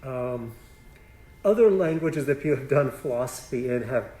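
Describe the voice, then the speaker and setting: Soft-toned Polish-native professor, roomy hall. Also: male voice, mid-range voice, animated, moderate pace. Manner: thinks aloud, restarts phrases, frequent "right?". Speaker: philosophy professor. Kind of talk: answering audience questions after a lecture.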